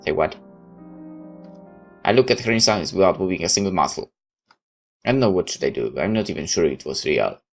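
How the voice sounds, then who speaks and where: Low-voiced man reading a story aloud. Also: lively intonation, fairly quick, long pauses, faint music behind. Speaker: video game player reading a visual novel aloud. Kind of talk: dramatic first-person story read-aloud.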